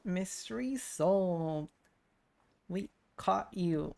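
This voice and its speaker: A woman's voice: lowish voice, animated, very slow, vocal fry, warm tone. A woman streamer giving casual game commentary, talking playfully to herself in short reactions. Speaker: game streamer playing through a story quest.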